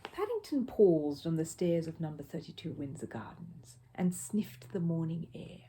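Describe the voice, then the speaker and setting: A woman's voice: low-pitched, very animated, moderate pace, mellow, a little hiss or hum. A woman storyteller narrating a children's book expressively.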